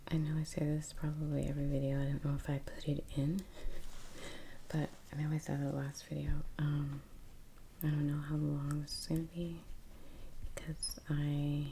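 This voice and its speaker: A woman speaking in a soft, breathy half-whisper, chatting casually and haltingly in an ASMR style as she paints. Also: sits low, monotone, slight background noise, rough recording. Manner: unhurried, halting, trailing pauses. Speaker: ASMR painting video creator. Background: soft brush sounds.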